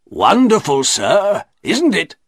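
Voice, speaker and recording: A man's voice: high voice, wildly swooping pitch, slow pace, husky, dry recording, clean studio sound, voice pushed up, full character voice. A man voicing a shifty English shopkeeper in a storybook, by turns bossy and obsequious, boastful and repeating himself. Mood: boastful, eager. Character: fawning, shifty English shopkeeper showman, bossy to his captive. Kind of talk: audiobook character voice.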